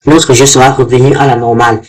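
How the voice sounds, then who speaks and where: A man giving an unscripted step-by-step software tutorial, halting and often repeating his words. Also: medium pitch, level tone, background noise. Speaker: programming tutorial presenter. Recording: loud music behind the voice. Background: music.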